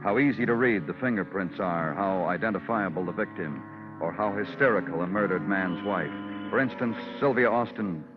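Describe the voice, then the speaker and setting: An actor playing a world-weary homicide detective in old-time radio noir, speaking in clipped, poetic, deliberate phrases. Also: male voice, low voice, lightly acted. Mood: wistful, brooding. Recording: muffled vintage radio recording with music.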